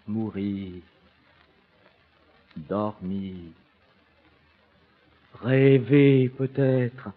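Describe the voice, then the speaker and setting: An actor playing a tormented prince pondering life and death in a grave, deliberate theatrical soliloquy. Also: male voice, mid-range voice. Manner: grave, deliberate, swelling declamation. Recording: narrow, phone-like sound.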